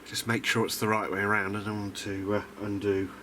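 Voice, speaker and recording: A man from the UK with a fairly low voice presents a casual hobby video diary. He rambles and hesitates, often putting himself down mildly. There is clear noise behind his voice. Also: completely flat delivery, brisk, bright, forceful tone. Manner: rambling, hesitant, self-deprecating. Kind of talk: casual unscripted demonstration.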